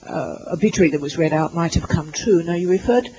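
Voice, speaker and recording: High-voiced man interpreting live. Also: a crowd in the background, poor audio. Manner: halting, with many fillers and restarts while rendering others' words. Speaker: simultaneous interpreter. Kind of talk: live interpretation at a university lecture. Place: lecture hall.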